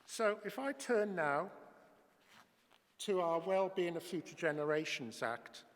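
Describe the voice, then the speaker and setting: A man speaks in a fairly high voice, delivering a formal conference talk in a measured, explanatory way. His words ring out with the long reverb of a lecture hall. He pauses now and then to find his words. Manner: measured, explanatory, occasional hesitations. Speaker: conference speaker, public health official.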